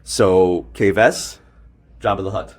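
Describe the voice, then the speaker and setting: Low-voiced, nervous male interviewer. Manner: nervous, halting. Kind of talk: casual interview chat.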